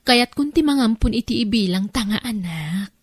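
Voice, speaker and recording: A woman's voice: on the high side, animated, moderate pace, breathy edge, dry recording, clean studio sound. An Ilocano-accented woman playing a coaxing, insistent wife in a radio-style drama, speaking emphatically.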